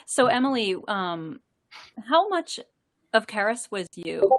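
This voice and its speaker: A woman's voice: mid-range voice, sing-song, takes it slowly. A woman who narrates audiobooks, chatting animatedly on a live stream, often stumbling into restarts and laughing. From central Florida. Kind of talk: casual live chat.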